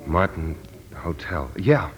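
An actor playing a wary, troubled amnesiac war veteran who narrates in a terse, hardboiled 1940s radio style. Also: male voice, low-pitched, animated, slow, poor audio. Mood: guarded. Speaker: lead actor and first-person narrator. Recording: 1940s radio broadcast with music.

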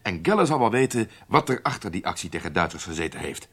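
An actor playing an authoritative, probing intelligence chief in a radio drama, speaking deliberately in a husky voice. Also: male voice, lowish voice, sing-song, fairly bright tone, exaggerated voice. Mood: calculating.

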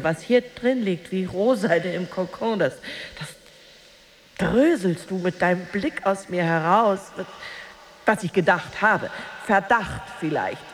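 A bitter, volatile jealous wife. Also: female voice, medium pitch, slight background noise. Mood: suspicious. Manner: emotionally volatile, theatrical, swinging between hushed and outburst. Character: jealous married actress, bitter, taunting, volatile rival. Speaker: actress in a radio drama. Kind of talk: dramatic radio play monologue.

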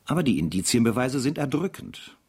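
A man's voice: mid-range voice, very animated, fairly quick, darkish tone, close to an everyday voice. An actor in a German radio play playing a stern military interrogator, formal, insistent and sardonic as he makes his accusations. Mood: cold, official.

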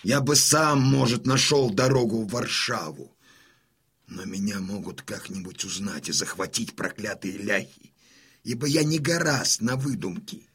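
An actor playing a gruff, impatient old Cossack warrior in an audio drama, blunt and commanding with a rough, husky voice. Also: male voice, fairly low pitch, unhurried, a bit bright, exaggerated voice. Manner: blunt, peremptory, insistent. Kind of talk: full-cast audiobook dramatisation.

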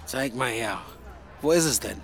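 An actor playing a laid-back handyman who lets flats, with an offhand, colloquial manner in casual radio play dialogue. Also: mid-range voice, unhurried, vocal fry, some chatter behind. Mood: dry, familiar.

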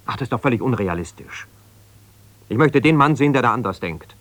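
An actor playing an embittered, ambitious lawyer husband who talks in an argumentative, colloquial way in a naturalistic radio play. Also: male voice, medium pitch, lively intonation, fairly quick, fairly bright tone, dry recording, natural voice. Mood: angry, frustrated. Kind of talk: naturalistic radio play, one side of a phone call.

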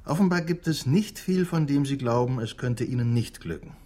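A man's voice: mid-range voice, normal speed, dark tone, lightly acted. An actor playing a sceptical man who questions dryly and probingly in a radio drama. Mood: reproachful.

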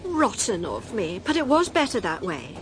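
A woman's voice: mid-range voice, huge pitch swings, moderate pace, slight background noise. An actress playing a brash, gossipy, much-married older Englishwoman in a radio drama, gushing and teasing with theatrical gasps and giggles, shameless and merry. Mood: breezy, teasing.

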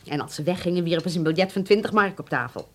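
Low-voiced wistful woman. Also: expressive, fast talker, fairly bright tone. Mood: reminiscing. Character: wistful woman recalling hard times and old days. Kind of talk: radio drama acting.

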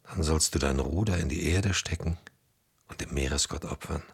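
An actor in a German radio drama playing a weary, grey-haired old hero back from the sea, gravelly and brooding. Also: male voice, a bass voice, normal speed, exaggerated voice. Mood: solemn recollection. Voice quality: gravelly, husky.

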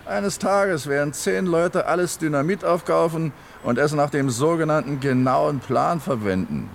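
An actor playing a bitter, cynical plotter in a radio drama, speaking in a dry, sardonic way. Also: male voice, a little high, moderate pace, vocal fry, slight background noise. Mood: brooding. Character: bitter, cynical male plotter dreaming of destruction.

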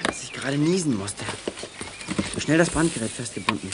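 A man's voice: mid-range voice, expressive, moderate pace, noisy background, natural voice. A young man acting a clever, cheekily defiant teenage boy detective with glasses in a German children's radio play. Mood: conspiratorial.

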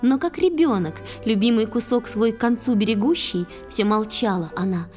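A woman performing in a children's audio play, reciting verse lyrically and expressively with drawn-out phrases. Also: on the high side, darkish tone, faint music behind, lightly acted.

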